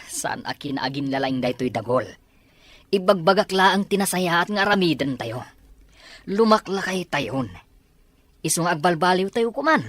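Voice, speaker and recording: A woman voicing a cautious, aging crook with an Ilocano Filipino accent in a radio drama. The voice is husky and deep, rising and falling animatedly, recorded close. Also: expressive, moderate pace, long pauses, no room sound. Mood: warning, insistent. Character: cautious, aging henchman urging a change of ways. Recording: close, dry, with music underneath.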